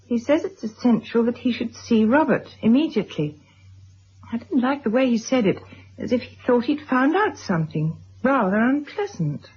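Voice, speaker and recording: A woman acting an anxious, solicitous titled wife in a radio drama, polite and tentative, with a breathy, husky voice. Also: on the high side, very animated, normal speed, a little mellow, heavily acted. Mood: uneasy. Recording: dull, muffled old radio recording.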